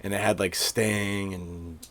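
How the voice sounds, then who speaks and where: A man's voice: sits low, level tone, slow. A man in his twenties from Maine, hosting a podcast in loose, slangy casual chat. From Maine, USA. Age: 20s. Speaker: podcast host.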